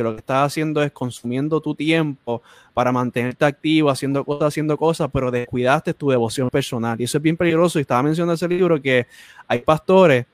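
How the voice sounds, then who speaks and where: A man's voice: mid-range voice, no echo, studio quality. Preachy Spanish-speaking man host. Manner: preachy, repeats phrases for emphasis.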